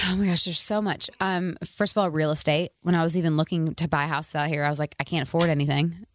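A woman's voice: deep voice, fairly even intonation, fast, dry recording, studio quality. A woman in her thirties from the American Midwest, a country singer guesting on a radio show, talking in a bubbly way and laughing often. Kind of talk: casual interview chat. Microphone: studio microphone.

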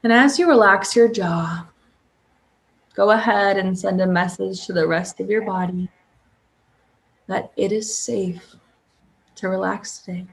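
A woman guiding a sleep hypnosis session as a hypnotherapist, calm, with drawn-out pauses and audible breaths. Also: medium pitch, gravelly. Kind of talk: guided sleep hypnosis, soothing relaxation script.

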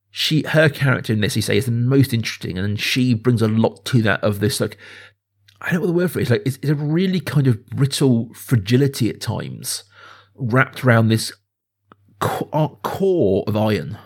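A man's voice: mid-range voice, animated, normal speed. A man hosting a podcast, talking casually about film in an emphatic way, often repeating phrases for stress. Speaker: podcast host.

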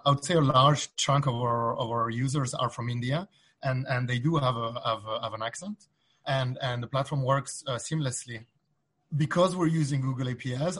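A man from Belgium speaking as an interview guest, explaining in a casual, halting way. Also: medium pitch, breathy edge. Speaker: interview guest, startup founder.

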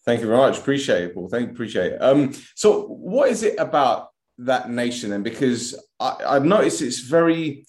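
A man's voice: medium pitch, sing-song, normal speed, hardly any pauses, a little mellow. Podcast host guy, mid voice, chatty questions, roomy echo. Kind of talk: casual podcast interview.

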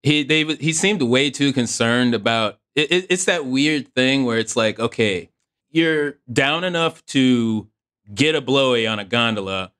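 A man with a cold co-hosting a comedy podcast as its producer, with wry, deadpan asides. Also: mid-range voice, a little slow, clear, resonant tone. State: sick. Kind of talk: casual comedic chat.

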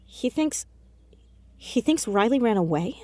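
A woman's voice: fairly high pitch, sing-song, unhurried, warm tone, no echo. A woman playing a stubborn, inquisitive teenage girl sleuth in an audio drama, earnest and probing in a casual, argumentative way.

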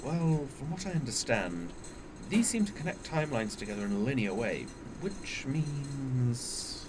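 Fussy male scientist with lively intonation. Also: mid-range voice, a little slow, background noise. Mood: thoughtful. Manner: hesitant, formal, quaint. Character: fussy, evasive, eccentric male time-travel scientist. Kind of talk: audio drama acting.

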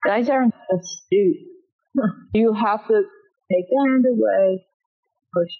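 A woman teaching self-defense in an interview, emphatic with a booming voice, often acting out example lines. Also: slow, slightly rough, narrow, tinny audio. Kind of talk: instructive interview talk.

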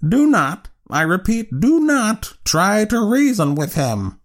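A man reading as a ballerina on air, her voice lifted high and swooping wildly as she warns the viewers. She speaks slowly and hammers each word. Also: big pitch swings, full character voice. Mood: urgent. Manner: urgent, emphatic warning. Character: urgent female ballerina announcer.

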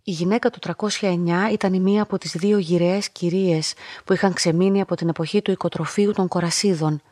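An actress playing a solitary young woman who narrates her own story in a radio drama, reflective and measured, with a husky voice. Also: on the low side, steady tone, a little mellow, dry recording, studio quality, close to an everyday voice. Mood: observant, pensive. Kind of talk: literary first-person dramatic narration.

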